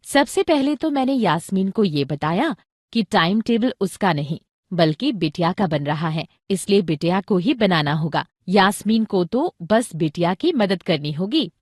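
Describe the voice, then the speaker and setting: Lively, practical Indian mother. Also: lowish voice, measured pace, no room sound, clean studio sound. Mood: earnest, instructive. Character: practical, caring Indian mother explaining parenting to her husband. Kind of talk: scripted educational audio drama dialogue.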